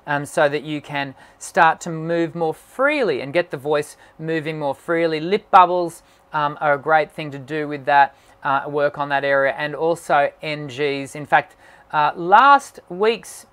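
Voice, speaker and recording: A man who hosts a singing channel, answering viewer questions on a live stream in an encouraging tone and often pausing with "um". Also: fairly high pitch, fairly bright tone. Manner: encouraging, talks with many "um" fillers. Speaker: singing teacher and channel host.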